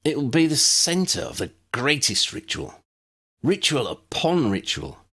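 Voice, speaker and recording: A man's voice: medium pitch, sing-song, measured pace, bright, forceful tone, husky, voice pushed up, heavily acted. A man voicing an angry, boastful wizard villain in an audiobook, proud and defiant with a thin, weak voice. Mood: grandiose. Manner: proud, defiant, with a dry cough. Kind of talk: audiobook character voice.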